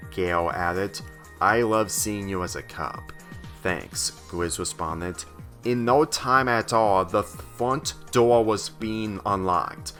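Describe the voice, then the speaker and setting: Slow, low-voiced man reading a story. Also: a bit bright. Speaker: fan fiction reader. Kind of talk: scripted story narration, reading dialogue aloud.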